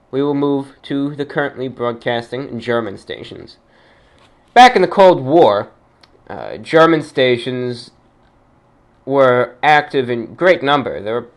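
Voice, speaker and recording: Guy hosting a radio type show, mid pitch voice, informal but announcer-ish and emphatic. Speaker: show host.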